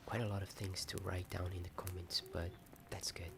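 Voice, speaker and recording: A man whispering a hesitant, rambling ASMR chat in a soft, often breath-only voice. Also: low-pitched, flat delivery, fairly quick, creaky. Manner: hesitant, rambling, self-deprecating. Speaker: ASMR video host. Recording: strong ambient noise behind whisper. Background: added ambience sound.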